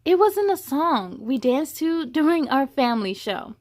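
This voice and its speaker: A woman's voice: high voice, very animated, a little slow, a little mellow. A woman hosting a children's story time, reading aloud expressively with encouraging, chatty asides to young viewers. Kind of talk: animated children's read-aloud.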